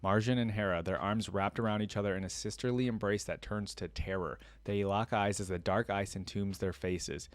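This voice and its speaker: A man with a fairly low voice reads a story aloud and reacts casually as he goes. He is an informal host given to exclaiming and interjecting his own thoughts, recorded close and dry. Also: fairly even intonation, moderate pace, hardly any pauses, no room sound.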